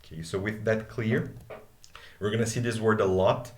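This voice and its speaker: A man's voice: on the low side, fairly even intonation, normal speed. Brazilian man teaching English, roomy echo. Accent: Brazilian Portuguese. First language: Portuguese. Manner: patient, explaining, often checks in with "okay?". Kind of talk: live online grammar class. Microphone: video call.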